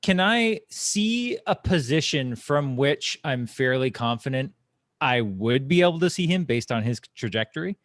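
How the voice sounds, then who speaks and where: A man playing a tabletop game, asking the game master careful, deliberate rules questions. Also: mid-range voice, animated, fairly bright tone. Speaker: player. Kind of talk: casual tabletop play.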